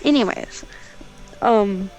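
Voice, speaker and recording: A woman tells a story in a casual, improvised way at a medium pitch. She rambles and slips in offhand asides as she goes, with some music playing faintly behind her. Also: slow, a little mellow, low-quality recording. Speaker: storyteller on a gaming channel.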